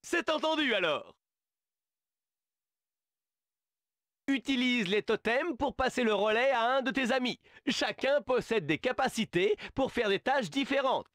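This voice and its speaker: A man's voice: very high-pitched, animated, normal speed, few pauses, fairly bright tone, no room sound, studio quality. An actor voicing a fussy, theatrical male animal who hands out tasks in a game, with a grandiose, condescending manner. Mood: instructive. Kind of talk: video game dubbing.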